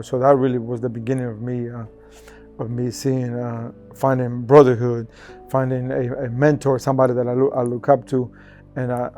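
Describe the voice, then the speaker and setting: A man tells his faith story in an earnest, halting way and often restarts his sentences. His voice is mellow and dark and stays level, with little rise and fall. Soft music plays faintly behind him. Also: mid-range voice. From El Salvador. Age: 40s. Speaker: testimony speaker. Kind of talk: personal faith testimony.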